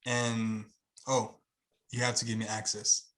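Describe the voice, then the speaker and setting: A man's voice: medium pitch, flat delivery, unhurried, a bit bright. Haitian-accented man, earnest and rambling. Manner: earnest, rambling, many fillers and restarts. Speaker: guest, channel owner. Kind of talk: casual video-call interview. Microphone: video call.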